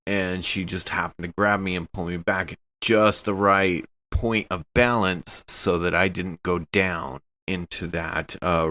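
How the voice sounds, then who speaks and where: Deep-voiced, slow-talking man. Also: fairly bright tone. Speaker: player in a tabletop game podcast. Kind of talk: improvised in-character storytelling and table chat.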